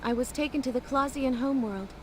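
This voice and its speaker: A calm, courteous female ship's officer, acted by a woman, speaks in measured sentences with a fairly high voice. This is video game dialogue with clear noise behind it. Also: natural voice. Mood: explanatory, calm. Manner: measured, polite, composed. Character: composed female second-in-command of a spaceship, calm and courteous.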